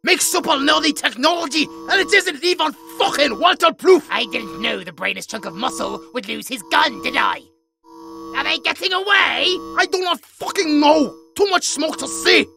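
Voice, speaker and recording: A man with a British accent playing a blunt, foul-mouthed, irate character in a zombie horror audio drama, with a rough voice. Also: extremely high pitch, moderate pace, bright, forceful tone, faint music behind, exaggerated voice. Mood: panicked fury. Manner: blunt, sweary. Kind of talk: horror audio roleplay acting.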